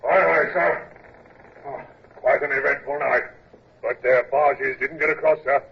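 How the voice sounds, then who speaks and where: An actor playing a deferential naval officer in an old radio drama, hesitant and full of fillers. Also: male voice, medium pitch, steady tone, unhurried, gravelly, husky, full character voice. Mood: reporting. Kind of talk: 1950s radio drama acting. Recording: muffled old radio broadcast.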